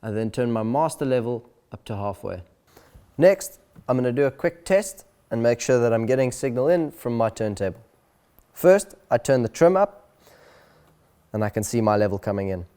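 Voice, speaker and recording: A man presenting an instructional video in a clear, deliberate, step-by-step way. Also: mid-range voice, expressive, dark tone. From South Africa.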